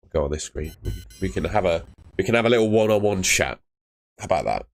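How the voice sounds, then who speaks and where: A young man chatting live with viewers on a stream, laid-back and chatty, his voice fading and hoarse. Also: sits low, animated, fast talker. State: losing his voice.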